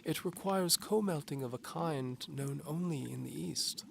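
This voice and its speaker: A man's voice: on the high side, a little slow, gravelly, a little hiss or hum, voice pushed up. A man voicing a pompous, snappish old duke and alchemist in an audio drama. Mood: lecturing. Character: stern, haughty old duke and alchemist, male.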